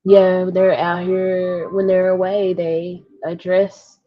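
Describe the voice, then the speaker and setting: A woman's voice: fairly low pitch, little pitch movement, slow, faint music behind. A woman co-hosting a casual chat about books, on slightly dull audio. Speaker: book discussion co-host.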